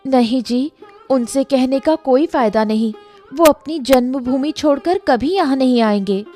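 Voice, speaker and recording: A woman playing a gentle, dutiful young wife in an acted story, speaking softly and deferentially to her husband. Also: high voice, unhurried, faint music behind. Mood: pleased, dutiful. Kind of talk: acted Hindi audio story dialogue.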